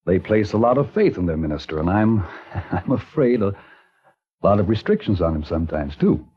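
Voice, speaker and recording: A kindly small-town minister weighs his words carefully. His voice is low and husky but lively in pitch. It comes through a muffled, lo-fi old radio broadcast. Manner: measured, reflective. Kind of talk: 1950s radio acting.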